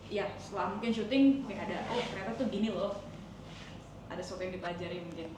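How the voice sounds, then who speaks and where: A woman's voice: mid-range voice, fast, recorded from a distance. A woman asking questions in a casual way as an interviewer. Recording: very echoey, off-mic, noisy room ambience.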